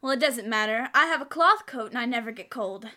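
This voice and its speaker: A woman's voice: on the high side, lively intonation, a little fast, bright, forceful tone. A woman playing a sweet, devoted, self-sacrificing wife in 1940s radio comedy style. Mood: earnest, gentle.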